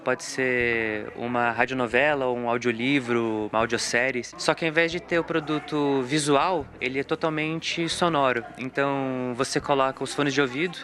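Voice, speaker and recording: A man's voice: mid-range voice, fairly bright tone. A Brazilian man explaining a project in an interview as one of its creators.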